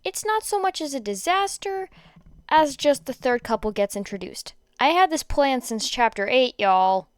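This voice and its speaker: A woman reading fan fiction aloud in an informal, expressive way, stumbling over words now and then. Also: high voice, normal speed, clean tone, no echo, studio quality. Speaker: podfic reader. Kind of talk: amateur fan fiction reading with chatty asides.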